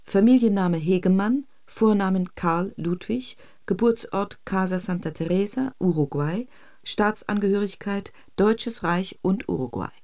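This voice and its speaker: A woman's voice: medium pitch, unhurried, a little mellow. A woman reads headings and document entries as an announcer, in a clipped scripted style.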